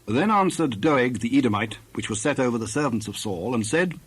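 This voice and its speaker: Guy narrating bible audio drama, husky mid-pitched voice, scripted read. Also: expressive, fairly quick.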